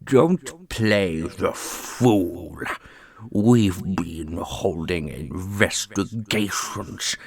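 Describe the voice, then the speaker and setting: A man playing a slow, haughty, gravelly grand master. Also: low-pitched, heavily acted. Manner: slow, imperious, deliberate. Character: stern, haughty grand master of a holy order. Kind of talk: fantasy ASMR audio roleplay acting.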